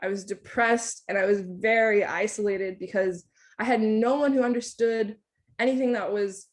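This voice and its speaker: A woman's voice: mid-range voice, fairly even intonation, moderate pace. A woman in her twenties who grew up speaking Thai, giving a casual, candid talk in a lecture series with frequent ums and likes. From United States. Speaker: staff researcher giving a lecture.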